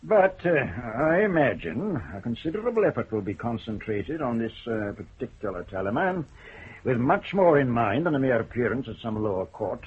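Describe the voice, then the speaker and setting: A stern older judge with a dry, ironic turn of phrase. His voice is very husky and his pitch moves about a great deal as he weighs each word. It sounds like an old radio broadcast with narrow, muffled sound. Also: sing-song. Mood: measured. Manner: measured, wry, deliberate. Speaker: senior judge. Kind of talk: 1970s BBC radio drama acting.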